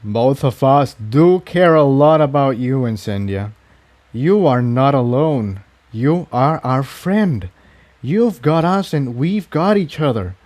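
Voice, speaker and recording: A man voicing a kind, steady male pony and loyal friend in a storybook reading, sincere, gentle but firm. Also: medium pitch, takes it slowly. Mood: firm, reassuring.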